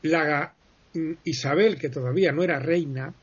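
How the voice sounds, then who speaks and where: A man explains history in a relaxed radio interview. He digresses and pauses often, correcting himself as he goes. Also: on the high side, slightly rough. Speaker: history guest speaker. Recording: muffled lo-fi broadcast sound.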